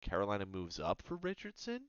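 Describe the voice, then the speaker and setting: A man hosting his own sports video, reading mock draft picks aloud and reacting to them in casual, animated commentary. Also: on the low side, clean tone, no echo, lo-fi sound. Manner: animated, reads passages aloud then reacts with quick asides.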